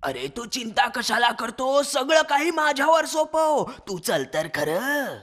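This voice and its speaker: A man voicing a cunning fox minister in a children's animal story, raspy and creaky, with a wheedling, flattering manner. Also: extremely high pitch, takes it slowly, full character voice. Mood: coaxing, falsely friendly. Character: cunning sweet-talking fox, minister to a lion.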